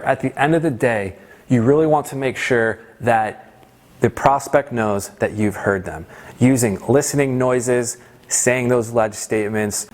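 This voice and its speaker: Guy doing a sales training lesson, medium pitch, talks to you directly, stresses his points. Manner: conversational, emphatic, checks in with "right?" and "okay?". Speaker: sales trainer presenting a course. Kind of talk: instructional presentation with role-played example lines.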